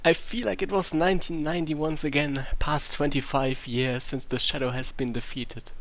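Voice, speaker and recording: A man voicing an excitable, gushing young fantasy character in an exaggerated way for a story reading. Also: on the high side, normal speed. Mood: gleeful.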